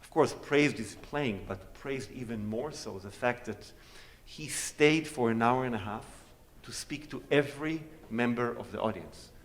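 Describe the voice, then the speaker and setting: A man's voice: mid-range voice, a little slow, few pauses. A man whose first language is Hebrew, a guest cellist chatting and joking with a concert audience on stage. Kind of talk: informal talk and audience Q&A on stage. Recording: long concert hall reverb.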